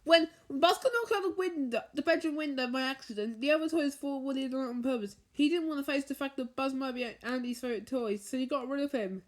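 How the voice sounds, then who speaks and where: A woman reading a children's bedtime story aloud, haltingly, stumbling over and repeating words as she goes. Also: high-pitched. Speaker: storybook reader.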